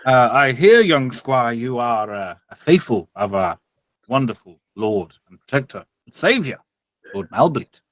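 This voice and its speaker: Pompous, halting village priest, acted by a man. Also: mid-range voice, big pitch swings, no echo. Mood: formal, courteous. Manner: halting, formal, unctuous. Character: pompous, fawning male village priest, Father Doby.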